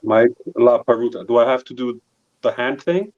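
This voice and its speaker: A man's voice: medium pitch, normal speed. A man hosting a casual live stream, introducing guests and joking with them. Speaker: stream host. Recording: dull lo-fi audio.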